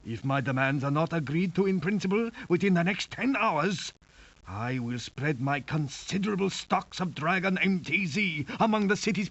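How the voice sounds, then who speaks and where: A grandiose, coldly menacing video game villain. He speaks formally and deliberately with dramatic sweeps of pitch, captured as a dull, low-fidelity sample. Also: a bit bright, full character voice.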